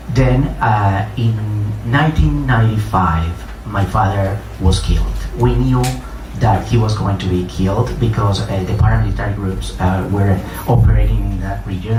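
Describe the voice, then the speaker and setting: A middle-aged man from Colombia with a Colombian Spanish accent, speaking haltingly as he introduces and reads his writing to a live gathering. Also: lowish voice. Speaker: writer and poet giving a reading. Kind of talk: public reading with personal introduction. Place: echoey room at a live reading event. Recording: roomy, distant mic, clear noise.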